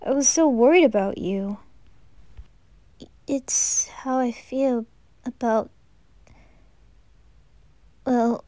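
A woman's voice: on the high side, warm tone, no room sound. A woman voicing a shy, earnest teenage singer boy with a hesitant manner in a hobby anime audio drama. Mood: hesitant, confiding. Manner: hesitant, halting.

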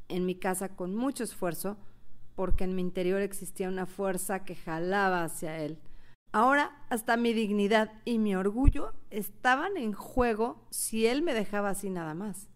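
A woman's voice: medium pitch, normal speed, close to an everyday voice. A woman playing a hurt, jealous young woman who narrates her own story earnestly in a radio novel. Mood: hurt, confessional. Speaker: first-person narrator.

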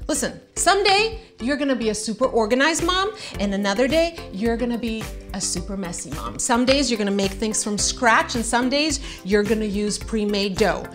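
The woman on a kitchen cooking show, animated and higher-voiced, joking at her own expense and chatting advice as music plays along. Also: moderate pace, hardly any pauses. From Croatia. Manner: animated, self-mocking, conversational. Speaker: host of a cooking and parenting show. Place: home-style kitchen, indoors.

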